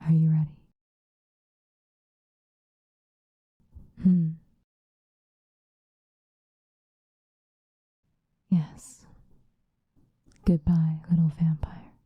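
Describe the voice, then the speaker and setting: A woman playing an obsessive, possessive lovesick woman in an intimate, whispered ASMR roleplay, with a breathy voice. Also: sits low, flat delivery, dry recording, narrow, tinny audio, full character voice. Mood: tender, darkly loving. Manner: slow, intimate, pleading.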